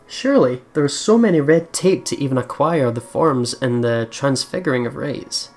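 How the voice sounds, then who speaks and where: A man hosting a let's play, commenting and reading the story text aloud. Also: medium pitch. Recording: clearly noisy background.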